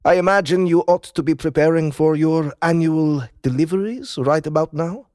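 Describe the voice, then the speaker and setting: A man playing a cold, formal, menacing Russian president with a Russian accent, speaking deliberately in a dramatized audiobook. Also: on the high side, darkish tone, dry recording, clean studio sound, close to an everyday voice. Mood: wry, probing.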